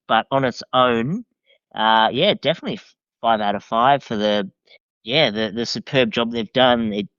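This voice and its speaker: A man chatting casually as a podcast guest, giving opinions in a halting way and repeating phrases. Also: mid-range voice, fairly bright tone, clear, resonant tone, dry recording. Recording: dull, lo-fi voice track.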